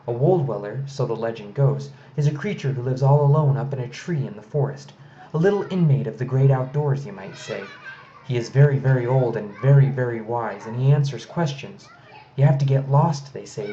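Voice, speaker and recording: A man's voice: medium pitch, warm tone, reverberant, lightly acted. A man voicing a folksy, pompous town mayor and father in a children's storybook. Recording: slightly noisy, dull home recording.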